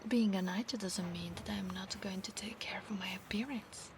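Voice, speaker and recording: A proud, battle-hungry centaur knight played by a woman speaks with an Italian accent straight to the listener. Her voice sits at a middle pitch, soft and formal, with breathy whispers in an ASMR roleplay. Noticeable ambient noise runs behind her. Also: fairly even intonation, normal speed, lightly acted. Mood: calm, proud. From Italy. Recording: clear background noise, ambience.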